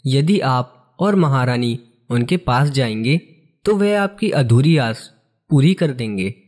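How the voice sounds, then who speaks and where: A man's voice: medium pitch, animated, slow, a little mellow. A man playing an earnest, deferential royal minister in a cartoon moral story, with formal address.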